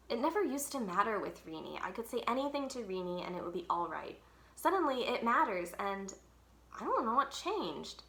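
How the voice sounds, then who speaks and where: A woman's voice: mid-range voice, fairly bright tone, roomy sound. A woman reading a children's book aloud expressively, leaning on key words with dramatic emphasis. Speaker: library read-aloud storyteller.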